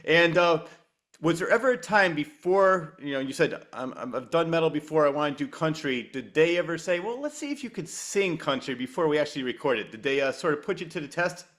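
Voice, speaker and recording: A man with a slightly high voice hosts a relaxed podcast conversation. He is encouraging and informal, speaking as an interviewer who keeps his guest going. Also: brisk.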